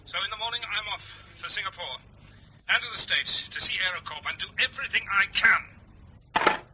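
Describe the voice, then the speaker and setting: A man's voice: high-pitched, moderate pace, recorded from a distance, background noise, close to an everyday voice. A man acting in a British radio drama as a proud, stubborn, embattled airline captain with a curt, commanding manner. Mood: resolute.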